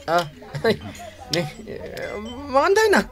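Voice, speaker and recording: A man playing a chummy, excitable male office buddy in a radio drama. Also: very high voice, slow, full character voice. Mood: excited.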